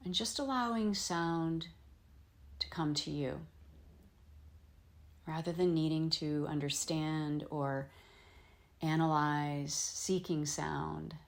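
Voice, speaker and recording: A woman teaching yoga nidra, leading a guided meditation in gentle, soothing phrases with frequent pauses. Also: deep voice, vocal fry. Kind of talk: guided meditation, slow instruction with long pauses.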